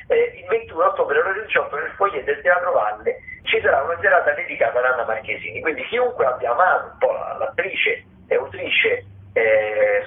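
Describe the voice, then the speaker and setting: A man hosting a radio arts show, talking through theatre listings in an informal, wordy, halting way. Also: a little high. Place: radio broadcast. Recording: muffled, phone-like radio sound.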